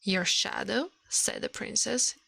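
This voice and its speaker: A woman reading a fairy tale aloud in a soft ASMR style, careful and a little halting, close to the microphone. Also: mid-range voice, vocal fry, breathy edge, no echo.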